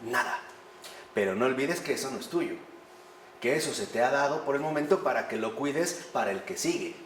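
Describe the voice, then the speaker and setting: Male lecturer, animated, asks the audience rhetorical questions, echoey room with faint background hum. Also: mid-range voice, normal speed. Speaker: priest teaching a class. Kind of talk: conversational lecture on faith.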